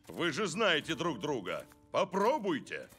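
A man's voice: on the high side, wildly swooping pitch, measured pace, a bit bright, exaggerated voice. A man voicing a firm, encouraging football coach in a dubbed cartoon, commanding and instructive. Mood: calm challenge. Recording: music behind the voice.

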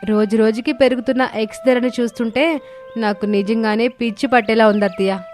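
A woman voicing a young, meek daughter-in-law who speaks deferentially in an animated moral story. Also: a little high, a little fast, soft background music, natural voice. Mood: dejected.

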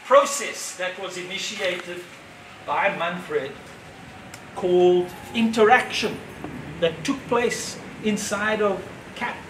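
A man in his sixties giving a reflective, measured speech to an audience at a gallery opening, with pauses between phrases. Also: high-pitched. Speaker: speaker at an exhibition opening. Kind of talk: unscripted public speech. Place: gallery entrance, indoors facing an audience. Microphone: far camera, no mic seen. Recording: roomy, distant, noisy ambience.

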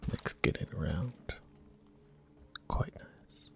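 A man talking softly through a video game in a slow, gentle ASMR whisper. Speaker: ASMR gaming channel host. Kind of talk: soft-spoken ASMR gameplay commentary.

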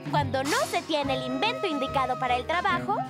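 A woman voicing a clever, self-assured little female creature who is an inventor professor, in an animated children's musical dub. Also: sits high, brisk. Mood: matter-of-fact. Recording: voice over loud music.

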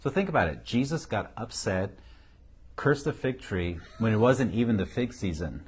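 A man's voice: lowish voice, lively intonation, moderate pace, husky. A middle-aged man with a Syrian accent, a pastor talking casually and emphatically about ministry, recorded on a lapel mic in an office. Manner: emphatic, repeats key phrases, chuckles. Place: indoor office, seated on couch.

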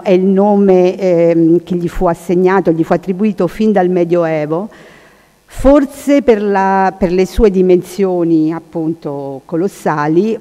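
Halting woman in a reverberant hall. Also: fairly low pitch, warm tone. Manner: halting, searching for words. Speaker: conference speaker. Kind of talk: informal lecture with slides. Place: large hall, seated at panel table. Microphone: table microphone.

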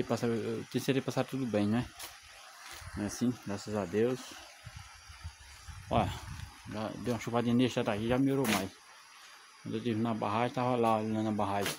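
A man's voice: mid-range voice, a little mellow, slight background noise. A man, a bricklayer vlogging casually from a rural building site, chatty and devout, pointing things out as he films on his phone. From Brazil. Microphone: phone camera held by the speaker.

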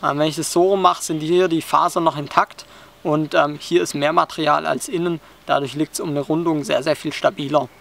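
Calm young man teaching outdoors. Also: fairly high pitch, a little fast, vocal fry. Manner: calm, explanatory, many "ähm" fillers. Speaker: bushcraft instructor. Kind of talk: hands-on outdoor tutorial. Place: outdoors in a forest, sitting on the ground.

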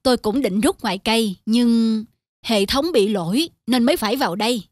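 A man's voice: very high voice, moderate pace, dry recording, studio quality. A man voicing a stubborn, wily middle-aged customer in a cartoon, insistent and matter-of-fact. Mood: put-upon. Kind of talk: cartoon dubbing. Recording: music under the voice.

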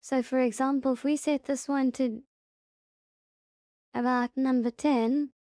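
A woman presenting a casual software tutorial step by step, unhurried and thinking aloud as she goes. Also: sits high, steady tone, no room sound, clean studio sound.

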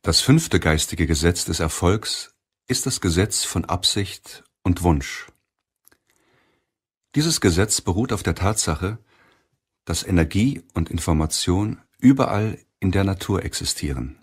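A man reading a self-help audiobook in a measured, deliberate way with pauses. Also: deep voice, animated, husky. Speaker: audiobook narrator. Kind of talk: scripted audiobook narration of a self-help book.

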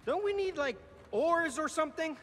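An actor voicing a small, excitable, jokey doll husband in an animated video game. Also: male voice, very high-pitched, normal speed, noisy background. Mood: whiny, doubtful. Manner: exclamatory, jokey. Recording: loud game music and effects behind.

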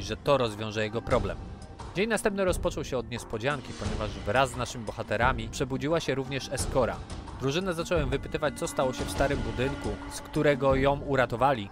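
Man narrating a recap over music. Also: medium pitch. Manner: even, storytelling. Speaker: game master.

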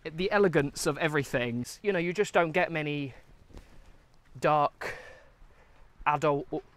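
A man's voice: a little high, unhurried, a bit bright. A man, talking casually about a film while walking outdoors. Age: 20s. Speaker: film review vlogger. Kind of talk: casual film review chat. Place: outdoors, walking on a woodland path.

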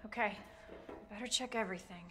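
A woman's voice: medium pitch, fast, background noise, close to an everyday voice. Caring, gutsy young woman played by an actress. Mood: encouraging, tense. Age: young adult. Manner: reassuring, earnest. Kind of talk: naturalistic video game cutscene acting.

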